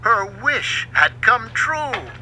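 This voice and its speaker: A man narrates a children's storybook in a very bright, forceful voice. His delivery is unhurried and dramatic, with big swings in pitch. There is faint noise behind him in what sounds like a home recording. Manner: expressive, dramatic read-aloud delivery. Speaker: book narrator.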